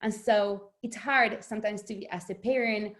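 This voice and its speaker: Lively Argentine-born woman author. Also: medium pitch, normal speed. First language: Spanish. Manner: animated, laughs often, restarts words. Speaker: author guest. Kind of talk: conversational interview.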